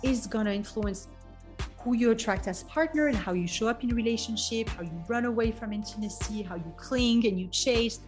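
A woman's voice: fairly high pitch, brisk, a little mellow, faint music behind. A middle-aged woman from France with a French accent, a love coach chatting earnestly as a guest and explaining her ideas with frequent "you know". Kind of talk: casual interview chat.

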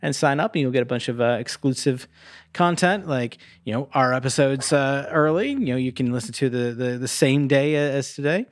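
A man's voice: mid-range voice, a little fast, no echo. A man with a mid-range voice co-hosts a podcast in a loose, casual way. He is laid-back and self-deprecating with some swearing, and the recording is very dry. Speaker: podcast co-host. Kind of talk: casual chat.

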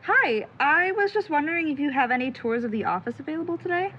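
A woman's voice: high voice, animated, fast talker, bright, forceful tone, lo-fi sound, a little hiss or hum. A woman playing an anxious, prickly young amateur sleuth in an audio drama, hesitant, dry and sarcastic. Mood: resigned. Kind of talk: naturalistic audio drama acting.

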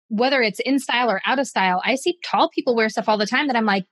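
A woman's voice: fairly high pitch, fast talker, fairly bright tone, no echo, studio quality. A woman in her forties chatting casually as a podcast co-host, thinking aloud with many "like"s. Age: 40s. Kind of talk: casual chat.

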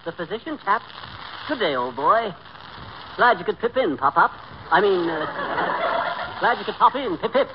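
A man voicing a jolly, pompous English gentleman with an affected mock British accent, in old radio comedy. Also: high voice, sing-song, normal speed. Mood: hearty. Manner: hearty, affected. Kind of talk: 1940s radio comedy acting. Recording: noisy, muffled old radio broadcast.

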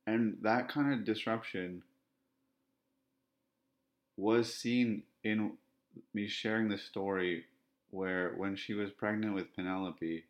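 A man from Ontario speaking in a podcast monologue, with a self-described monotone, flat delivery and measured, repetitive phrasing. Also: on the low side. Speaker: podcast host.

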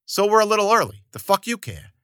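A man's voice: sits high, sing-song, quick pace, sharp tone, smooth, no echo, studio quality, pitched above the performer's own voice, full character voice. A man voicing a pair of crude male thugs who bicker with each other.

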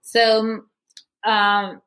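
A woman's voice: a little high, flat delivery, extremely slow, a bit bright. A young woman from Guatemala, a podcast guest candidly telling her life story with many fillers. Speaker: guest, movement founder. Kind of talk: personal story interview.

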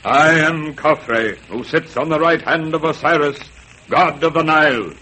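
A man voicing a vain, boastful ancient king who speaks in a slow, commanding, pompous way. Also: fairly high pitch, voice pushed up, close to an everyday voice. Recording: noisy old film sound.

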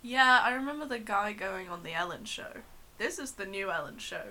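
Bright, rambling young woman. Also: medium pitch, lively intonation, normal speed, a little hiss or hum. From London. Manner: playful, rambling, self-deprecating. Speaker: podcast co-host. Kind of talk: casual chat.

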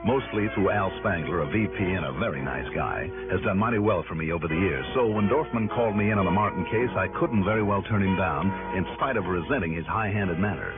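A man's voice: sits low, lively intonation, a little fast, few pauses, loud music behind the voice. A man playing a cool, sardonic insurance investigator in a 1960s radio drama, speaking in a dry, firm way. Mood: wry, narrating. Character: cool, wry male freelance insurance investigator. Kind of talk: 1960s radio drama acting with first-person narration. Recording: narrow, tinny old radio broadcast.

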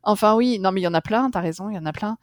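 A middle-aged French woman chats casually as an interview guest. She hesitates often, fills pauses with "euh", and restarts her sentences. The sound is dry and close, with a medium pitch. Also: no room sound. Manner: chatty, hesitant with many fillers and restarts.

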